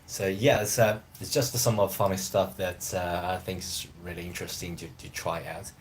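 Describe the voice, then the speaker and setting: Man, 30s, native Chinese speaker, deep voice, loose rambling live-stream host, faint room hum. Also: little pitch movement, moderate pace. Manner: rambling, hesitant, loose phrasing. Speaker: language teacher live-streaming. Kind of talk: casual live-stream chat.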